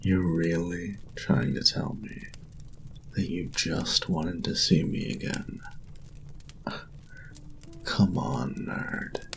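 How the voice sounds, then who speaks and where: Man playing a very deep, gravelly, smug bully. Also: music in the background, full character voice. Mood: dismissive. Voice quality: gravelly, often dropping to a whisper. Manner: taunting, breathy, drawn-out delivery. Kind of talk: intimate roleplay audio acting.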